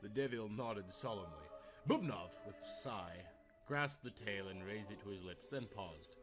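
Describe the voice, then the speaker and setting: Male narrator: dramatic scripted storytelling, lively intonation, soft music bed. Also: on the low side, unhurried.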